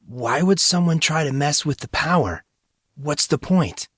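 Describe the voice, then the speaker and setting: A man's voice: fairly high pitch, lively intonation, measured pace, a bit bright, husky, dry recording, clean studio sound, pitched above the performer's own voice, heavily acted. A man voicing an earnest, anxious young male university student in an audiobook, with urgent questioning delivery. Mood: urgent, baffled.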